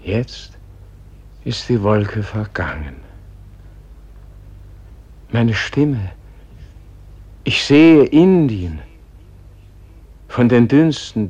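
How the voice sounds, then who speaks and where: Gentle old man in a radio play, deep-ish voice, really slow, solemn, lyrical, trails off hushed. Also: dull audio. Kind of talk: German radio play acting, solemn and poetic.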